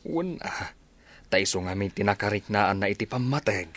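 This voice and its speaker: Low-voiced village friend. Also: male voice, expressive, normal speed, fairly bright tone. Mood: counseling. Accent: Filipino. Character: steady, frank village friend giving counsel. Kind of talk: radio drama acting.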